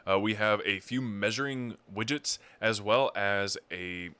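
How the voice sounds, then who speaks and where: Low bright-voiced male streamer. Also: unhurried, smooth, no echo. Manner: relaxed, explains giveaway details with fillers. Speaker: stream co-host. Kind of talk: casual livestream chat.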